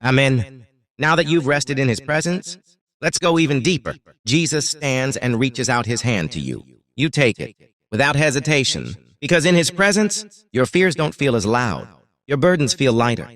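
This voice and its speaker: Man, guided meditation narrator, soothing, deliberate, short paused phrases, highly varied intonation. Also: animated, fairly bright tone.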